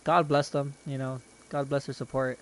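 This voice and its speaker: A man's voice: mid-range voice, flat delivery, moderate pace. A young man casually rambling and chatting to viewers while streaming a game from his bedroom, self-deprecating and talkative.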